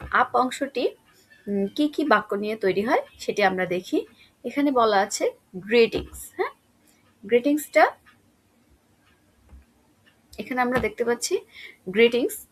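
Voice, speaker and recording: Husky, high-pitched woman teaching. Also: rough recording. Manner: instructive, asks and answers own questions. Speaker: English grammar teacher. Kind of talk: explanatory lesson for schoolchildren.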